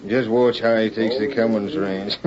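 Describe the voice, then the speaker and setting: A man playing a gruff, loyal outlaw henchman in an old radio western, talking in rough, folksy American cowboy speech. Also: lowish voice, fairly even intonation, unhurried, crowd noise, natural voice. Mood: boastful, confiding. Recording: dull old radio recording, crowded background.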